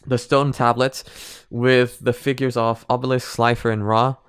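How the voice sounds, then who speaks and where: Smooth-voiced rambling man. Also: mid-range voice, little pitch movement, normal speed, a bit bright, no echo, clean studio sound. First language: Portuguese. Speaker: reaction host. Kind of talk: casual reaction commentary.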